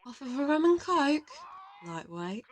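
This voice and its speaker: A woman's voice: sits high, sing-song, measured pace. A woman playing a brash, hard-drinking young agent in an audio roleplay, teasing and slangy. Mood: cheeky, indignant.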